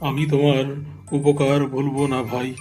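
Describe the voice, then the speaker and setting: A man's voice: medium pitch, completely flat delivery, moderate pace, a little mellow, faint music behind, natural voice. A man voicing a humble, devout cartoon king who has been cursed into a lizard's body. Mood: grateful. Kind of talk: cartoon voice acting.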